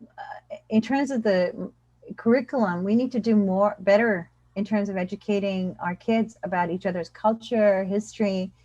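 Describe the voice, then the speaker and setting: A woman's voice: mid-range voice, moderate pace, mellow, no room sound. A woman professor, a guest on an interview over a video call, explaining her views earnestly and often saying "you know". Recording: dry, dull video-call audio.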